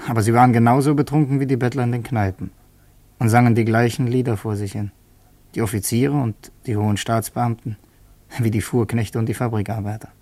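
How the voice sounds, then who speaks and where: An actor playing a young revolutionary student who narrates in the first person in a radio play, earnest and tense. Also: male voice, mid-range voice, steady tone, a little fast, a little mellow, no room sound, lightly acted.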